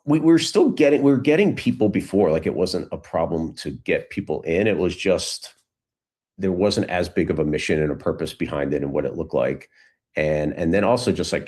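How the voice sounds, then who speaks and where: A man's voice: very deep voice, darkish tone, slightly rough. A middle-aged man talking casually as an interview guest, telling stories in a rambling way and often restarting his phrases. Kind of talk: casual conversational storytelling.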